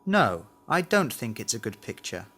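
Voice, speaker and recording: A man's voice: mid-range voice, big pitch swings, moderate pace, pushed and bright. A man reading a scripted language lesson, carefully enunciating model words and sentences. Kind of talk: scripted language drill, read aloud.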